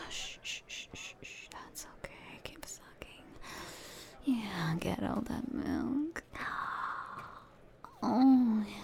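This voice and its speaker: A woman whispers close to the mic in an intimate ASMR roleplay. Her pace is very slow and unhurried, her tone mellow and dark, with a gravelly fry under the breath. Soft hums fill the gaps between her coaxing phrases. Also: lowish voice.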